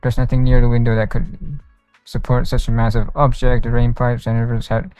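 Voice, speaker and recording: A man's voice: medium pitch, monotone, fairly quick, darkish tone, clear, resonant tone, no room sound. A man streaming a video game, casually commenting and reading dialogue aloud into a close mic with game music behind.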